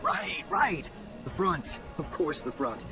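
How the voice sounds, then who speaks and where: Glib, animated merchant. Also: male voice, a little high, slow. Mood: ingratiating. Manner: glib, folksy, effusive. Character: chatty, slippery male merchant, eager and ingratiating. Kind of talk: video game cutscene acting. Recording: music behind the voice.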